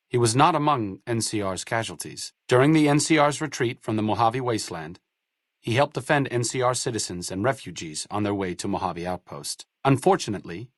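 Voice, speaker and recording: A grave, low game narrator. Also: male voice, no room sound, studio quality. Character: grave male narrator of a game's endings. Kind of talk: scripted game epilogue narration.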